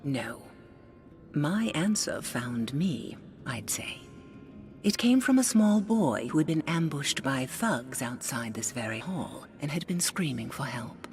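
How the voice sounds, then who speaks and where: A woman voicing a blind older songstress in a video game, who speaks softly, often in a hushed, intimate half-whisper, wise and gently teasing. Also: sits low, expressive, slow pace, soft background music. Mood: reflective. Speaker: game character.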